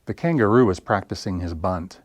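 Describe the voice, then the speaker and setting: A man reading picture books aloud for children in an expressive, deliberate storytelling style. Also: sits low, dry recording. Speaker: library story time reader. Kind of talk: animated picture-book narration for children.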